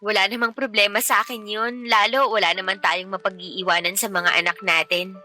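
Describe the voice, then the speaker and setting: A woman's voice: medium pitch, level tone, fairly quick, bright, forceful tone, faint music behind. A woman playing a tired, pregnant young mother who feels insecure in a radio drama, speaking emotionally and expressively. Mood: happy. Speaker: wife character in a radio drama.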